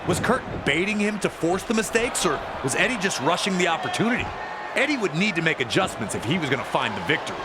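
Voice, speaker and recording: Guy doing dramatic wrestling narration, pretty high voice, emphatic, super noisy crowd all over it. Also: big pitch swings, fast talker. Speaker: sports narrator. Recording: loud crowd noise behind voice. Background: roaring arena crowd.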